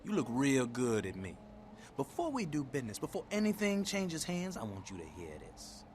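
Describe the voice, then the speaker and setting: A cocky, swooping street thug. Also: male voice, mid-range voice, wildly swooping pitch, normal speed, a little mellow, a little hiss or hum. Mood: cocky, menacing. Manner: cocky, swaggering, slangy, threatening. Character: brash, foul-mouthed male street thug dealer. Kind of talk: video game voice acting.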